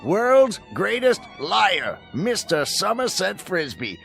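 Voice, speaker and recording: An actor playing a teasing, excitable small-town buddy with a rural American accent in a radio drama. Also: male voice, high-pitched, slow, creaky, faint music behind, heavily acted.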